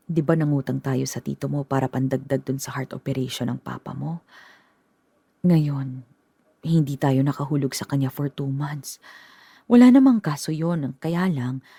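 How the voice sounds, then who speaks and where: An actress playing a worried middle-aged mother who speaks in a halting, gentle way in a radio drama. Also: sits low, slightly rough, no room sound.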